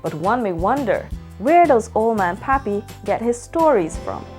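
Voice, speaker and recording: A woman reads a scripted feature narration in a measured, lively voice that moves up and down a lot and rises on her questions. Music plays clearly behind her throughout. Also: fairly high pitch. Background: music bed.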